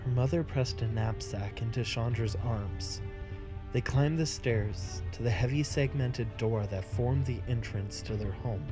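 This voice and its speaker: A man who hosts the channel reading a fantasy story aloud in a measured, deliberate way. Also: medium pitch. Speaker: channel host and narrator. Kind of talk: scripted story narration.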